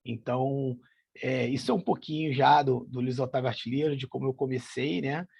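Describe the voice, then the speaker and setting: A man's voice: medium pitch, steady tone, brisk, creaky, darkish tone, slightly rough, dull audio. A middle-aged man from São Paulo with a Carioca accent, answering interview questions in a hesitant way with lots of fillers. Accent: Carioca (Rio de Janeiro). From São Paulo, Brazil. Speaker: guest, association president.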